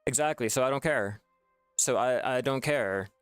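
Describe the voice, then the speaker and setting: A man vents about a game in a frustrated, profane rant and keeps restarting his sentences. His voice is smooth and mid-pitched, recorded close and dry. Faint music plays underneath. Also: moderate pace, no room sound. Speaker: gaming video creator. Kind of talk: ranting gameplay commentary.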